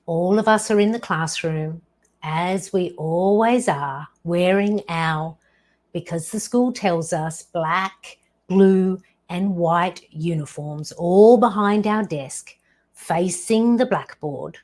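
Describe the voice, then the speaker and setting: A woman in her fifties hosting her own show, telling a personal story slowly and deliberately, with dramatic pauses. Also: fairly low pitch, darkish tone. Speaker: podcast host.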